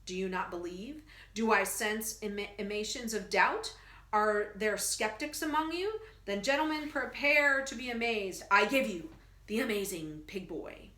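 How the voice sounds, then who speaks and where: Woman playing a booming medicine-show huckster. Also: expressive, a little slow, sharp tone. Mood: theatrical. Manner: grandiose, booming showman delivery. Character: bombastic male traveling medicine-show huckster. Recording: echoey room.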